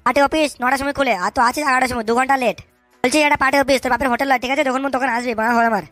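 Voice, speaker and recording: Performer as bullying cartoon boss, rapid-fire, high pitch, hectoring, suspicious, foul-mouthed. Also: steady tone, fairly bright tone, no room sound, faint music behind. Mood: angry, suspicious. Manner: scolding, hectoring, repeats tag questions, curses constantly. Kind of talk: comic cartoon dub with street slang.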